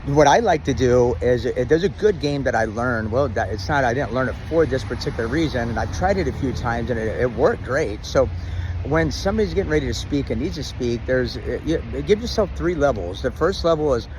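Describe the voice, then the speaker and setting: A middle-aged man giving relationship advice in a casual, rambling talk to camera, full of restarts and ums, in a loud and dominant voice. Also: mid-range voice, expressive, fairly quick, background noise. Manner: says he has a loud, dominant voice.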